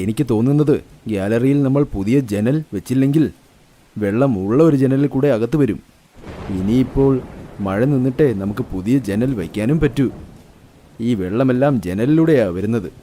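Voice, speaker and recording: An actor playing a practical, reassuring husband and son, calm and matter-of-fact, in a cartoon moral story. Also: male voice, medium pitch, moderate pace, long pauses, lightly acted.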